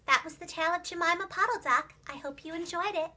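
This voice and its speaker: A woman narrating a children's storybook in an expressive, clearly enunciated storyteller's manner for young listeners. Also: extremely high pitch, quick pace, slight background noise. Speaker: preschool teacher and children's storyteller.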